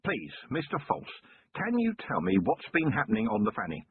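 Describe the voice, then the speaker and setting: A man voicing a weary, sardonic male police commander who speaks tersely in a raspy voice. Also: medium pitch, huge pitch swings, normal speed, slight background noise. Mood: dry. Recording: muffled lo-fi narrow-band recording.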